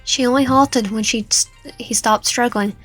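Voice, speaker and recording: Higher-voiced woman narrating a dramatic story. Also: steady tone, a little slow, a bit bright. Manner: stumbles and repeats words while reading. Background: background music.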